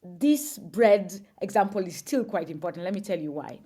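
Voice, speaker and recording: A woman's voice: lowish voice, expressive, moderate pace, warm tone, husky. A woman, a guest on a podcast interview, explaining thoughtfully with examples and frequent fillers. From Kenya.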